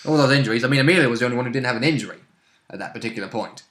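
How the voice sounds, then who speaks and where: A man talks casually as a player going over a tabletop game. His voice is bright and sharp at an average pitch. The recording has a clear room echo. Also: racing. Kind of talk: casual chat recapping a game session. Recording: roomy, echoey indoor recording.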